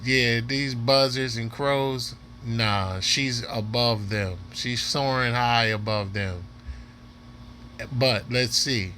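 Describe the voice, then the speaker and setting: A man's voice: mid-range voice, steady tone, takes it slowly, pushed and bright. A man commentating casually off the cuff as a reaction host, repeating himself as he urges viewers to watch. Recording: faint background noise.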